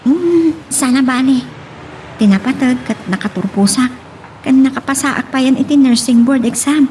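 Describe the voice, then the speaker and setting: A woman's voice: sits high, normal speed, darkish tone, a little hiss or hum, natural voice, low-quality recording. A woman with an Ilocano Filipino accent playing a young, dutiful, soothing student daughter in an audio drama. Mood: hopeful. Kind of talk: Ilocano radio-style drama acting.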